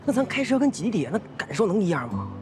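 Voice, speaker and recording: Casting: young husband, optimistic and apologetic, very animated delivery, naturalistic drama. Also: male voice, sits high, fast talker, music in the background. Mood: upbeat reassurance. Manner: coaxing, repetitive pleading, colloquial. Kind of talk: naturalistic screen drama acting.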